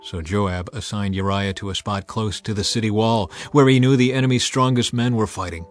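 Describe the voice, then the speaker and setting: A man giving a measured, solemn, dramatized narration of scripture as an audiobook reader. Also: lowish voice, steady tone. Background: music.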